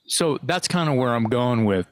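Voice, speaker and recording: A man co-hosting a podcast, talking in a rambling, halting way with casual swearing. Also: mid-range voice, expressive, dry recording, studio quality. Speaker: podcast co-host. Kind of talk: casual interview chat.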